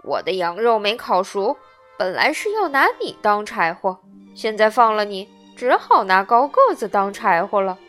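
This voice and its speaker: A woman voicing a stern, blustering male puppet-theatre boss with a soft heart in a children's storybook. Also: mid-range voice, big pitch swings, normal speed, faint music behind. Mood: grumbling. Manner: loud, commanding, scolding.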